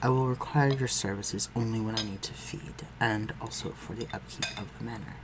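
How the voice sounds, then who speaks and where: A man playing an aristocratic vampire master in a scripted audio roleplay, speaking formally and with measured, condescending concern for the listener. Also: on the low side, steady tone, heavy background noise, natural voice. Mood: reassuring, protective. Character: aristocratic male vampire master, civil, protective, coolly superior.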